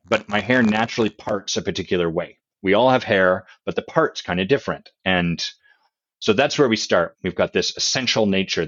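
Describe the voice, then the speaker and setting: A man, the author and guest, explaining his concepts in a relaxed podcast chat with examples and analogies, with a loud voice. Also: on the low side, highs cut off.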